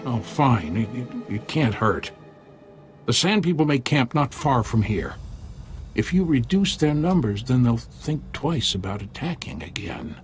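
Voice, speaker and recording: A man's voice: mid-range voice, big pitch swings, a little slow. An actor playing a protective, apologetic frontier townsman with a hesitant, folksy manner in a video game. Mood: worried, reluctant. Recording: faint background music.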